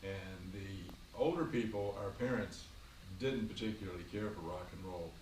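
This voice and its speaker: A man in his sixties from Virginia, a grandfather answering his grandchild's questions at home by the fire, explaining in a measured way with frequent ums and uhs. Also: sits low, a little mellow, reverberant, far from the mic, slight background noise, poor audio. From Virginia, USA. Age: 60s. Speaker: grandfather being interviewed. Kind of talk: family interview, casual recollection. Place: home, beside a fireplace.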